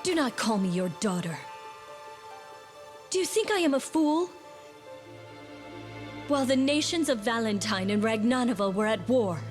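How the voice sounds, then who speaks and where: A woman's voice: mid-range voice, darkish tone, close to an everyday voice. A woman playing a proud young witch in a fantasy game, speaking in a cold, formal, accusing way. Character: bitter, proud young witch, estranged princess's daughter. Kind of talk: dramatic fantasy game voice acting. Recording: music behind. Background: music.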